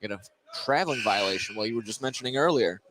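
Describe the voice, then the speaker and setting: A man's voice: medium pitch, lively intonation, fast, very noisy. A man doing live radio play-by-play of a basketball game as the announcer, courtside in a gym.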